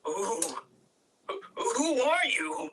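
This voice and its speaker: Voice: medium pitch, sing-song, extremely slow, pushed and bright, slight background noise, voice pushed up, full character voice. An amateur reader voicing a big injured male guard, frightened and pleading, in a fantasy script.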